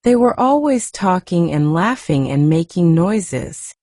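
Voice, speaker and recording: Woman voicing a soft, sour, curious little girl. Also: on the low side, very animated, a little slow, dry recording, studio quality, heavily acted. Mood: sullen, lonely.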